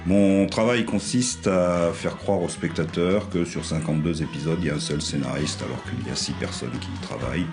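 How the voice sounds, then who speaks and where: Homme à la voix très grave. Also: male voice, extremely low pitch, moderate pace, hardly any pauses, music in the background. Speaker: head writer. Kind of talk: casual interview.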